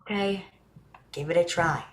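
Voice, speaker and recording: A woman's voice: lowish voice, measured pace, fairly bright tone. A woman playing a curious, earnest young boy in a staged drama reading, with an informal, questioning way of talking. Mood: puzzled.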